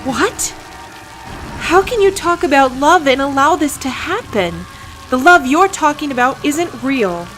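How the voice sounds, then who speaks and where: A woman's voice: high-pitched, expressive, a little slow, fairly bright tone, faint music behind. A woman playing a loyal, anguished superheroine wife in a scripted drama, earnest and emotional. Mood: distressed.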